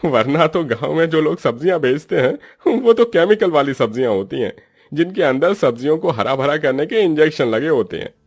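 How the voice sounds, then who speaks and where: A man's voice: a little high, expressive, moderate pace. A man voicing a friendly, approving village shopper in a cartoon story, chatty and emphatic.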